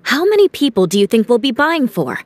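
A noble young knight in a fantasy game. She is poised and sensible, with a rather high, animated voice and faint music behind. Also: brisk. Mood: dry, reassuring. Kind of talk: acted video game dialogue.

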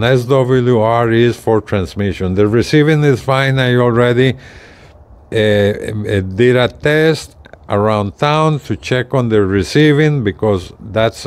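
Slow-paced older man demonstrating gadgets outdoors. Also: medium pitch. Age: 60s. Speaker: gadget reviewer. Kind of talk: casual product demonstration, explaining step by step. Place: outdoors on a residential balcony. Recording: clear outdoor ambient noise.

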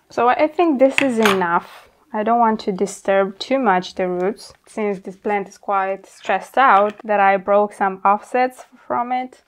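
A young woman hosting a plant vlog, talking casually and candidly as she works with her hands, informal and mildly sweary. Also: medium pitch. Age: young adult. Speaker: plant channel host. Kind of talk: casual hands-on tutorial chat. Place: indoor plant studio at a worktable.

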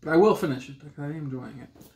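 A man's voice: fairly high pitch, rapid-fire, darkish tone. A man in his thirties in casual, teasing banter as a book vlog co-host, recorded in a room at home. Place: small room at home, indoors. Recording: roomy echo from camera distance.

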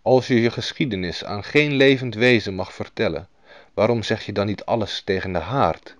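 A man voicing a kindly, thoughtful older king who speaks in a measured, dignified way. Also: on the low side, no room sound, natural voice.

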